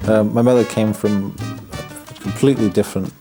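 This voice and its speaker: A man from the north of England, a Buddhist monk, speaking in a documentary interview in a reflective, halting way, often restarting his words and adding wry humor. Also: fairly low pitch, steady tone. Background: background music.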